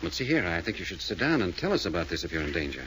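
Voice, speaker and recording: A brisk, probing young detective. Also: male voice, deep voice, big pitch swings, a bit bright, slight background noise, poor audio. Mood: commanding. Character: calm, sharp young male detective named Jack. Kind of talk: 1940s radio acting.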